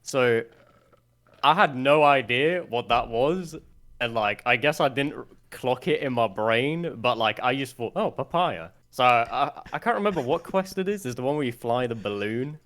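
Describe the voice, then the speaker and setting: A man's voice: medium pitch, normal speed. A young man from Sweden with a Swedish accent, a guest chatting casually on a podcast and thinking aloud as he talks. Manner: relaxed, thinks aloud with fillers.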